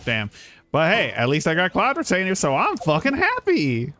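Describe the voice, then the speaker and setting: A man's voice: fairly high pitch, huge pitch swings, normal speed, sharp tone. A man streaming a game with casual commentary, rambling and thinking aloud in an informal way. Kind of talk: casual livestream gameplay commentary. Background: faint game music.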